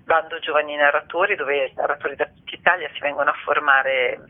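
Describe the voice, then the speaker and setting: A woman's voice: deep voice, steady tone, a little fast. Brief: female theatre-artist guest, earnest and poetic in phrasing, heard down a narrow telephone line. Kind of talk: radio phone interview.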